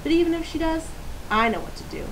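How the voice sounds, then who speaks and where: Bullied schoolgirl for a storybook, played by a woman: high, plaintive, emphatic; hissy recording. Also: quick pace, exaggerated voice. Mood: confident. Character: hurt, bullied schoolgirl standing up for herself. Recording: clear steady hiss.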